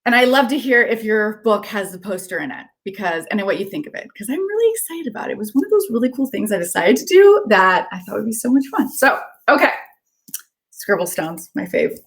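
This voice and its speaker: A woman children's author chatting casually and reading aloud to children, with an excitable manner. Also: mid-range voice, brisk. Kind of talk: casual chat and animated read-aloud for children.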